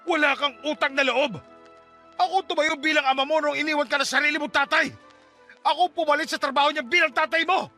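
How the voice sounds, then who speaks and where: Man acting very high-pitched, wicked, manipulative stepfather. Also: fairly even intonation, brisk, bright, forceful tone, exaggerated voice. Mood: indignant. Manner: wheedling, menacing, melodramatic. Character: wicked, manipulative stepfather, begging then gloating. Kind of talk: Tagalog radio drama acting. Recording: music bed under the voice.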